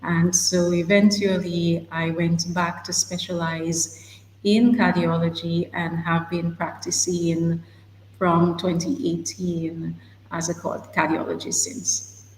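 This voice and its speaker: A woman with a slightly low voice talks slowly and in a measured way. Her voice comes over a video call from a roomy space. A long reverb and a faint hum hang around her words. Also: level tone. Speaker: guest cardiologist. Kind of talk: live online talk show. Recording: echoey room with a faint hum.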